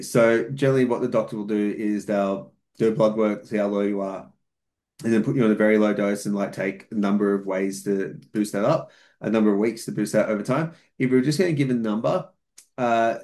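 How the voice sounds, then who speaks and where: A man with a fairly low voice explains things methodically as a podcast guest. He talks at length in a casual chat, often using fillers and restarting his sentences. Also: brisk, a little mellow. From outside New Zealand. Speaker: podcast guest, fitness coach.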